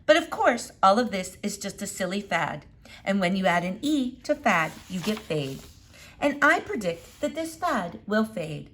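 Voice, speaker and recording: Woman reading as a stern, sharp schoolteacher, expressive pitch. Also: measured pace, slight background noise. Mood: dismissive, firm. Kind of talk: children's storybook read-aloud.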